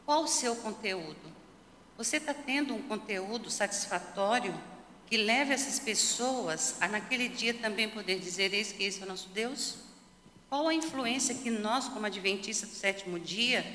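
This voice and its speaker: A woman leading a church Bible lesson, her voice bright and forceful as she asks one searching question after another. The sound echoes through a roomy church hall with a long tail and a faint hiss. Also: medium pitch. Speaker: Sabbath school teacher. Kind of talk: Bible study discussion.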